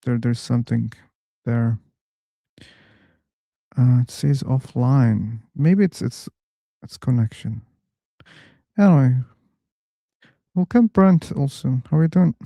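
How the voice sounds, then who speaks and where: A man hosting a casual live online discussion room, chatty and quick to laugh. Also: mid-range voice, creaky, no echo, clean studio sound. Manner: relaxed, chatty, laughs easily. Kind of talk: casual live-stream chat.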